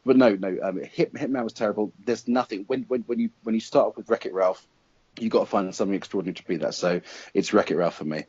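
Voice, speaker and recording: A middle-aged man giving his opinions as a guest critic in a casual panel discussion, pausing to think as he reasons. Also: lowish voice, darkish tone, breathy edge, dry recording, dull audio.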